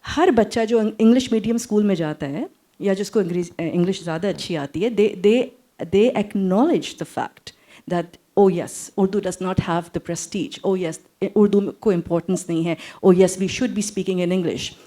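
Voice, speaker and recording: A woman academic argues on a panel. Her voice is mellow and mid-pitched, and her delivery is emphatic, with repeated phrases driving each point. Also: racing. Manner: emphatic, repeats phrases, mixes English and Urdu. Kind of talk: impassioned panel discussion.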